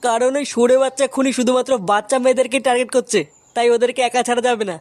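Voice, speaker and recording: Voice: medium pitch, flat delivery, rapid-fire, darkish tone, no echo, close to an everyday voice. A performer voicing a hot-tempered, foul-mouthed young male club leader in a comic village cartoon, bossy and scolding. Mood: urgent. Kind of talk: crude comic cartoon dub.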